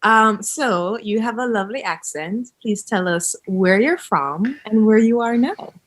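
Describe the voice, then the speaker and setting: A woman hosting a podcast, asking questions in an enthusiastic, warm manner. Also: mid-range voice, measured pace. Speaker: podcast host, birth coach. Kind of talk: casual interview.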